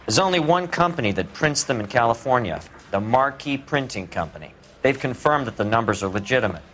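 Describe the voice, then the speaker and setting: An actor playing a matter-of-fact police technician in a video game, brisk and informative. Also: male voice, mid-range voice, lively intonation, a bit bright, slight background noise, lightly acted. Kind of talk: scripted video game dialogue.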